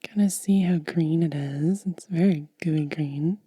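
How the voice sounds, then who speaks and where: A woman whispering in soft-spoken ASMR style, gently and unhurriedly explaining each step close to the microphone. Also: deep voice, measured pace, no echo. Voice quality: breathy whisper. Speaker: ASMR content creator.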